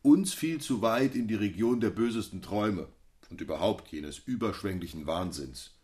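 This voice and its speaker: An actor playing a disapproving, eloquent member of a literary circle in a radio drama, speaking in ornate, reproving phrases. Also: male voice, lowish voice, lively intonation, moderate pace, darkish tone, slightly rough.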